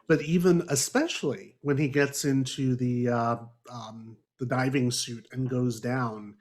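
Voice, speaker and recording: Man chatting casually, mid-pitched voice. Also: steady tone, measured pace, darkish tone. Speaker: book club podcast panelist. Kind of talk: casual book discussion.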